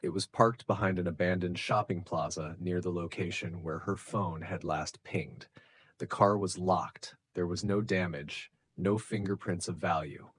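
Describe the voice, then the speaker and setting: A man narrating a scripted true crime story in a measured, suspenseful way, with short deliberate sentences. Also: low voice, steady tone, breathy edge. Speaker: narrator of true crime stories for English learners.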